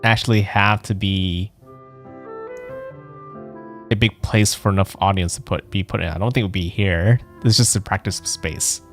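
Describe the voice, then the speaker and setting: A man's voice: deep voice, steady tone, normal speed, long pauses, music in the background. A man streaming a video game, musing aloud with questions in casual let's play commentary.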